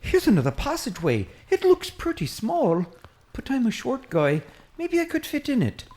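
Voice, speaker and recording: A woman voicing a chatty, excitable Irish priest, a short man who rambles eagerly in an Irish accent in a comic audio drama. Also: high-pitched, moderate pace. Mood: rambling, curious. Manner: rambling, eager, easily distracted.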